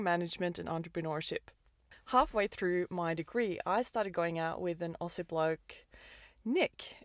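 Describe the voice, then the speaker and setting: A woman's voice: lowish voice, dry recording, studio quality. A woman, hosting a podcast in an upbeat, enthusiastic scripted monologue. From Norway. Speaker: podcast host and business coach.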